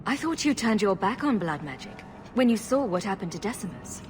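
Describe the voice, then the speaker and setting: A woman playing a calm, resolute champion in a fantasy game, composed and diplomatic. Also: mid-range voice, a little fast, a little hiss or hum, close to an everyday voice. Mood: resolute, concerned. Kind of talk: fantasy video game acting.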